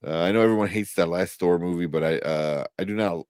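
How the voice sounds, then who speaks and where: A man's voice: low-pitched, a little fast, a little mellow, no echo, studio quality. A man hosting a casual live pop-culture chat, rambling enthusiastically and often searching for names. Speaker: host of a pop-culture review show.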